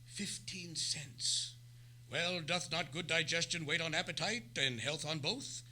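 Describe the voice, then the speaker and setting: A pompous, bright-voiced ham thespian. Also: male voice, on the high side, unhurried, slight background noise. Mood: indignant. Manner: pompous, grandiloquent, theatrical declaiming. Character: pompous, florid, down-at-heel male Shakespearean ham actor. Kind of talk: old-time radio Western comedy acting.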